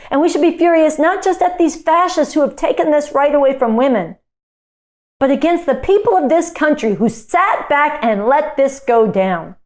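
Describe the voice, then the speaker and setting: A high-pitched woman speaks as an activist delivering a political speech. Her delivery is emphatic and indignant, with phrases repeated to press each point.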